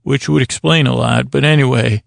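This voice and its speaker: An American man with a creaky voice hosting a podcast, delivering a meandering, halting comedic bedtime monologue that trails off mid-thought. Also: medium pitch, steady tone, no room sound, studio quality. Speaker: podcast host.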